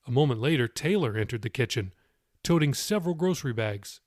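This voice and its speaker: A man narrating and hosting in an expressive, conversational way. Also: mid-range voice, fairly quick, no echo, clean studio sound. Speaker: author and podcast host reading own novel. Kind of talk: audiobook narration and podcast outro.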